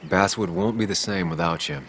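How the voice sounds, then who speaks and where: An actor playing a weary, remorseful journalist in a video game, plain-spoken and subdued. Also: male voice, low voice, steady tone, normal speed, a bit bright, a little hiss or hum. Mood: somber, resigned. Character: weary, remorseful male journalist grieving a loss. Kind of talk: video game cinematic dialogue.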